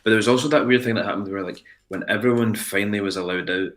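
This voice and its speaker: A man's voice: on the low side, fast, a bit bright. A man, a radio co-host talking casually in a wry, thoughtful way. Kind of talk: casual chat.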